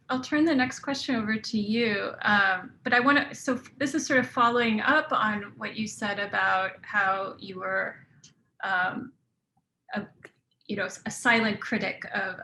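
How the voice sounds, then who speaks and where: Bright, gravelly woman moderator in echoey room. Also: medium pitch. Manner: measured, hedging questions. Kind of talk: panel moderation. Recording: echoey room with faint noise.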